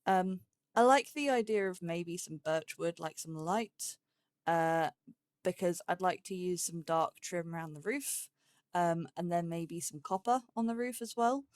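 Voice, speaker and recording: A woman's voice: on the low side, unhurried, a little mellow, no echo, studio quality. A woman giving casual gameplay commentary, chatting and thinking aloud to herself as she goes. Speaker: host of a gaming let's play series.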